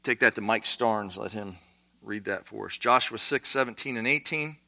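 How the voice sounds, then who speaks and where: A man teaching a Bible study class in a conversational way, with lots of fillers and quick asides. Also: lowish voice, creaky, sharp tone.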